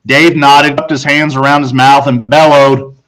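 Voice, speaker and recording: A man reading a children's story as expressive scripted narration, dramatic and with deliberate pauses. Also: medium pitch, low-quality recording. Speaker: audiobook narrator.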